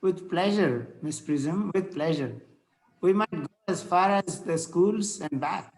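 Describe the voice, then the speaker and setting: A man's voice: high-pitched, slow pace, a little mellow, low-quality recording. A man reading the part of a courtly, scholarly rector in a Victorian comedy table read, formal and a little hesitant. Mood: genial.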